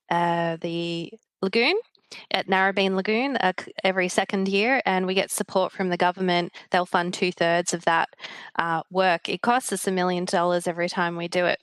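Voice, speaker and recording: Woman, Australian accent, council witness, measured, smooth clear voice, dry sound. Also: fairly low pitch, a bit bright, dry recording. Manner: measured, explaining with fillers. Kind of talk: giving evidence at a hearing.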